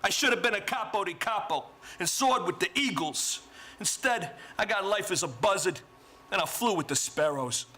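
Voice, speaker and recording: A man's voice: high voice, lively intonation, normal speed, sharp tone. An actor playing a self-pitying male vulture who talks like a wiseguy, recorded live on stage.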